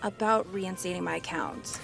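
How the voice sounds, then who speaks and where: A woman's voice: fairly low pitch, fairly even intonation, normal speed, vocal fry, fairly bright tone, faint music behind. An actress playing an earnest, easily indignant young woman entrepreneur in a naturalistic comedy-drama podcast. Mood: sweetly nervous.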